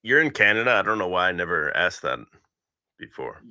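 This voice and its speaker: Deep bright-voiced minnesota guy, laid-back slangy stream chat. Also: very animated, a little fast, no room sound, clean studio sound. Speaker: stream host. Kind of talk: casual chat.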